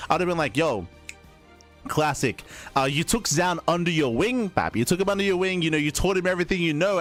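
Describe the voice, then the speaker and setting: A man hosting a casual esports stream, rambling through recap and schedule talk with many fillers while his voice is still recovering from strain. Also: medium pitch. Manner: chatty, rambling, frequent fillers and restarts. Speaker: esports caster and stream host. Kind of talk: casual rebroadcast commentary.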